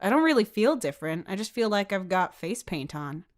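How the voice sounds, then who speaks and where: A woman's voice: mid-range voice, very animated, a little fast, close to an everyday voice. A woman voicing a self-conscious teenage boy in a story, grudging but playfully vain. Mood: doubtful. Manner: reluctant, theatrical. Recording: music behind the voice.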